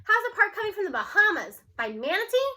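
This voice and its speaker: Woman doing brash car shop guy from a kids book, pushy but good natured, high bright voice, emphatic. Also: very animated, fairly quick, heavily acted. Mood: indignant, emphatic. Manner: insistent, emphatic.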